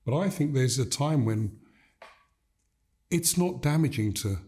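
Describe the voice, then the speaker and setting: Measured older man, warm low voice. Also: animated. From Gambia. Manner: measured, emphatic, builds points in repeated phrases. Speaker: guest, leadership coach. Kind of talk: reflective interview conversation.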